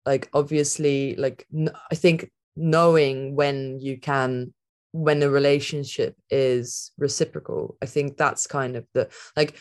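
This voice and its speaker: A woman speaking as a podcast guest, thinking aloud in a rambling, reflective way, with many "like" fillers and frequent laughter. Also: low-pitched. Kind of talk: reflective conversational interview.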